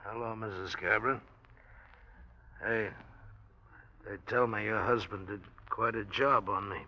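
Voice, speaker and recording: An American actor playing a sardonic, hard-boiled, injured newspaper reporter in a 1940s-style radio drama, with dry, halting delivery. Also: male voice, fairly low pitch, fairly even intonation, pushed and bright, heavily acted. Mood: sardonic, grudging. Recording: dull old radio recording.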